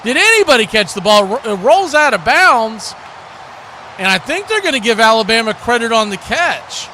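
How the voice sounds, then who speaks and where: A high-pitched male play-by-play commentator calls a live football game. He describes each snap and spot as it unfolds, over clearly noisy stream audio. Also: very animated, normal speed, bright, forceful tone.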